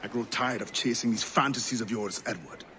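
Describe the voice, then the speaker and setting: An actor playing a weary, skeptical pirate crewmate in a dramatic video game cutscene. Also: male voice, medium pitch, brisk, background noise, close to an everyday voice. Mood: weary, firm.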